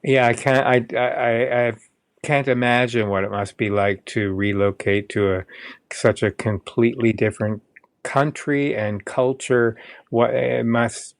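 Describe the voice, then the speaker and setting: A man hosts a podcast interview, asking questions in a courteous, measured, conversational way. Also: mid-range voice, level tone, gravelly, dry recording, clean studio sound.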